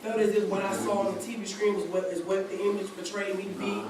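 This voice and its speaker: A woman's voice: medium pitch, level tone, quick pace, a crowd in the background. A woman in her twenties, a college student, giving an earnest personal testimony in long run-on sentences at a community seminar. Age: 20s. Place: large church hall. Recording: very echoey hall recorded from a distance with background noise.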